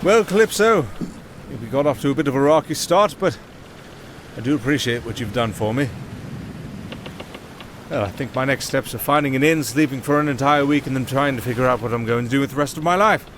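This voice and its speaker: Over noisy ambience comes a man acting a silver-tongued wandering minstrel of the sea in a fantasy audio roleplay. He is sardonic and theatrical, rambling as he talks his way along in a mid-pitched male voice. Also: a little fast, a bit bright, close to an everyday voice. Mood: grateful, relieved. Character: wry, silver-tongued wandering sailor musician, fast-talking and flustered.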